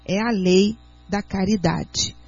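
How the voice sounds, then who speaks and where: A Brazilian woman speaking as a doctor and guest on a radio talk show, explaining in an earnest, teacherly way and sometimes reading aloud slowly. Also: medium pitch, steady tone, darkish tone, breathy edge, faint music behind. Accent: Brazilian Portuguese. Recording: muffled lo-fi radio audio.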